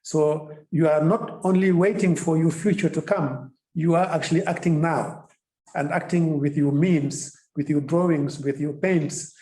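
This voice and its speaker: A man with a fairly high, mellow voice speaks earnestly and encouragingly in conversation. His phrasing is simple and he circles back to repeat it. The sound is roomy and echoing. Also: steady tone, a little slow. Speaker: official in conversation with a young activist. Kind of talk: encouraging interview-style conversation.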